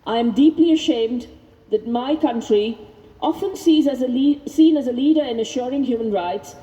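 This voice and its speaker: Woman reading out a speech at an outdoor vigil, softer mellow tone, measured, big echo on the mic. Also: on the high side, highs cut off. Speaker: speaker reading out another person's speech at a vigil. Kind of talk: public speech read aloud at a rally. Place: outdoors at a vigil by prison gates. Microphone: handheld microphone. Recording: noisy outdoor PA with long echo.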